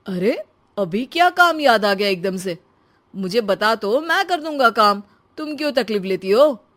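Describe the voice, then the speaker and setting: A woman's voice: medium pitch, expressive, unhurried, a bit bright. A woman voicing a boastful, breezy young husband who spends freely, in a cartoon story. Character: showy, carefree husband, big spender, cartoon young man.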